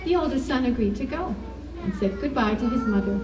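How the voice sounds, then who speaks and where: A woman telling a folktale to children at a live puppet show, with measured, dramatic phrasing. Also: on the high side, expressive, noisy background. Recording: echoey, distant mic, music over voice. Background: ukulele or guitar music, clearly heard.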